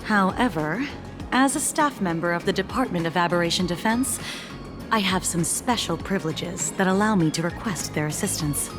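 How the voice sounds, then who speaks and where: Actress as polite composed lady officer in a game, lowish voice, music under it. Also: lively intonation, normal speed, natural voice. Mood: genial, explanatory. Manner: polite, explanatory. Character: composed, genial female officer of an anomaly department. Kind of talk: video game voice acting.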